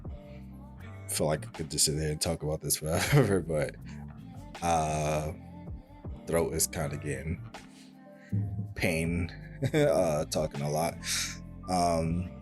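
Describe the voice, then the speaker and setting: A young man hosting a solo podcast, talking in a soft, calm voice that can't project, rambling thoughtfully with many fillers and restarts. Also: a bass voice, takes it slowly, long pauses, over music. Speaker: podcast host. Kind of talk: reflective solo podcast monologue.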